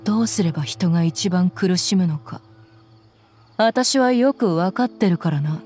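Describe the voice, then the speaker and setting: A woman's voice: fairly low pitch, animated, measured pace, warm tone, faint music behind. An actress playing a tough, confident young woman mercenary in a Japanese anime game, with blunt, intimidating phrasing. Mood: cool, threatening.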